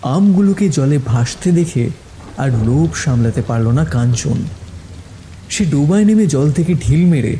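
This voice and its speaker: A man's voice: a little high, animated, measured pace, dark tone, a little hiss or hum, low-quality recording. A man narrating a scripted horror story in a suspenseful storytelling style. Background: background music.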